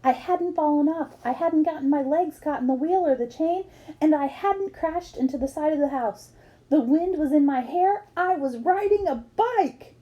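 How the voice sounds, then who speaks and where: A woman's voice: sits high, moderate pace, muffled recording. A woman reading a book aloud expressively, as for children, acting up the dialogue. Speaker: book reader.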